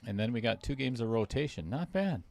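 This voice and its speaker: A man's voice: medium pitch, expressive, a little fast, vocal fry, a little mellow. A man doing casual play-by-play commentary on a pool match for a live stream. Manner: casual, chatty, reads viewer comments aloud.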